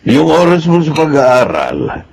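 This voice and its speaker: A man's voice: a little high, wildly swooping pitch, measured pace, gravelly, a little mellow, full character voice. An actor playing a weary, discouraging old pensioner father in a Filipino radio drama, resigned and dismissive. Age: older. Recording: faintly noisy radio drama track.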